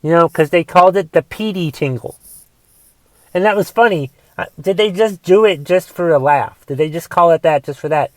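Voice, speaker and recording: A man in his forties with a raspy voice from a cough, casually rambling through a movie review on his podcast and thinking aloud with lots of fillers. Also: on the high side, normal speed, darkish tone, no room sound. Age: 40s. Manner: thinks aloud, musing questions, trailing fillers. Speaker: podcast host.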